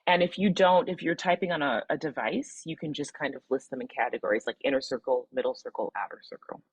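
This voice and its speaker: Low, husky-voiced woman leading a webinar. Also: quick pace, no room sound, highs cut off. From Midwestern US, Michigan. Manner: encouraging, leads participants through reflection exercises. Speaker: webinar presenter. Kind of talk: interactive online workshop.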